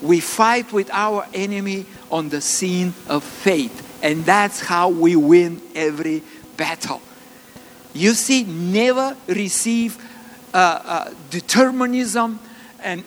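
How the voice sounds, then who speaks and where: A man with a Bulgarian accent preaching a sermon, earnest and halting, with many fillers and restarts. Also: high voice. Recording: long reverb, faint ambient noise.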